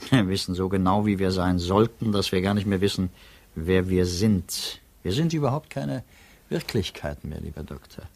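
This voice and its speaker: Male prosecutor, 1950s radio drama: low register, reflective, ironic, philosophizing. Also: heavily acted. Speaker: actor in a radio play.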